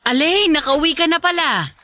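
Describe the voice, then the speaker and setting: A woman's voice: sits high, lively intonation, moderate pace, pushed and bright, no room sound. A woman playing a middle-aged mother, forgiving but firm, in a Filipino radio drama. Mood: surprised.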